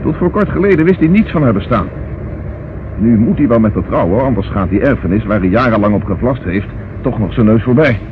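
An actor in a radio play playing a brisk, authoritative police inspector, businesslike and courteous. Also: male voice, on the low side, expressive, natural voice. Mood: explaining. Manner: businesslike, courteous but commanding. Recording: tinny, noisy old radio recording.

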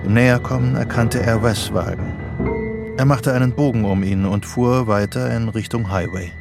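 An actor as the narrator of a psychological thriller, reading scripted radio drama narration. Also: male voice, fairly low pitch, moderate pace, gravelly, music in the background. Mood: sober.

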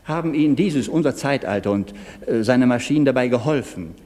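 A man's voice: medium pitch, lively intonation, fairly quick, a crowd in the background, lightly acted. A man speaking formal, articulate German diction in a scripted radio-essay dialogue, as a questioning partner. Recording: long reverberant hall.

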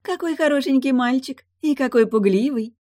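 A woman's voice: high voice, moderate pace, mellow, dry recording, studio quality, pitched above the performer's own voice, natural voice. A woman voicing a young female mermaid in an audiobook, teasing, carefree, sly and haughty, with brash exclamations.

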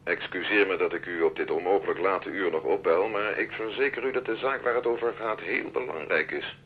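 A man playing a suave, imperious middle-aged army major in a radio drama, courteous, measured and condescending. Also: low voice, narrow, tinny audio, slight background noise, close to an everyday voice. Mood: insistent, courteous.

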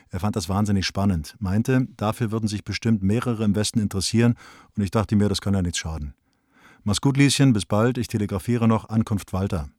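Actor plays encouraging bohemian male letter writer, radio drama; lowish pitch, colloquial, dry studio sound. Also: a little mellow, no room sound, lightly acted. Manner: colloquial, urging. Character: brisk, encouraging bohemian man writing to woman friend. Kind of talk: radio play letter monologue.

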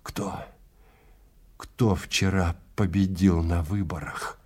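A male narrator acts out a horrified male time traveler in an audio play. He is anguished and disbelieving, breaking his words into halting, desperate pleas. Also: low voice, fairly even intonation, darkish tone, breathy edge, voice pushed down, exaggerated voice. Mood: hushed dread.

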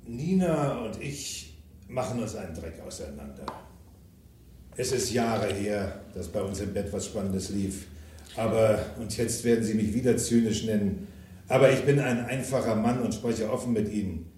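A man's voice: lowish voice, mellow, distant mic, a little hiss or hum. An actor in a German crime radio drama playing a cynical, ambitious self-made businessman, blunt and coarse, with theatrical emphasis. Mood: cynical, boastful. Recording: echoey room, off the microphone.

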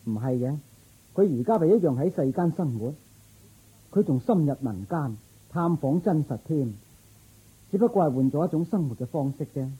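An actor playing a loyal, thoughtful palace guard in a radio drama, earnest and reasoning. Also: male voice, fairly high pitch, expressive, moderate pace, dark tone, breathy edge, poor audio.

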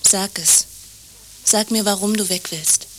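An actress as insistent, bright-voiced anxious landlady. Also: medium pitch, fairly even intonation, unhurried, slight background noise, natural voice, poor audio. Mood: anxious, pleading. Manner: insistent, repeating short phrases. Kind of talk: naturalistic radio drama dialogue.

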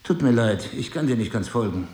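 An actor playing a remorseful, bewildered husband in an intimate drama, speaking in a grave, halting, restrained way. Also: male voice, mid-range voice, expressive, darkish tone, echoey room, close to an everyday voice. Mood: sceptical, puzzled. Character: remorseful, bewildered husband, resigned to leaving his wife. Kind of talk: intimate dialogue-driven audio drama.

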